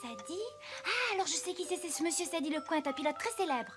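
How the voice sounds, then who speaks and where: A very high, girlish cartoon voice. She is an eager, earnest child, chatty and excitable. Music plays clearly behind her. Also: fast talker. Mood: eager explaining. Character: eager, chatty young girl who loves animals. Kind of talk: French animated film dialogue.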